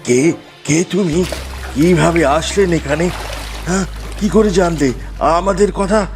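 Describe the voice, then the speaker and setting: A man's voice: on the high side, slow, over music. A man voicing a menacing, boastful tantric sorcerer in a fairy-tale cartoon, with a commanding, gloating manner. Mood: agitated, commanding.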